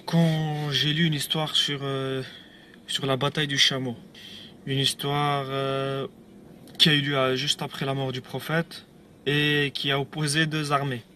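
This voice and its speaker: A man's voice: medium pitch, level tone, a little slow, pushed and bright, slight background noise. A man telling his own story on video, rambling and hesitant, with repeats and nervous laughs. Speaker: ex-believer giving a testimony video. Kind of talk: casual video testimony.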